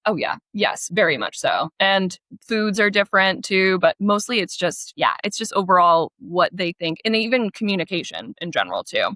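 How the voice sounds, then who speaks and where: A woman's voice: lowish voice, sing-song, moderate pace, hardly any pauses, bright, forceful tone, no room sound. An American woman in her thirties, a guest author chatting animatedly and laughing between points. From United States. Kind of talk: casual interview chat. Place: her home in Portugal.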